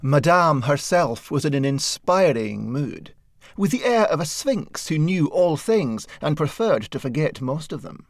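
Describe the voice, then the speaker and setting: A man reads a satirical short story as an audiobook narrator. His voice sits a little high and moves expressively up and down. The delivery is measured and wry, recorded dry and close. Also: big pitch swings, creaky, dry recording.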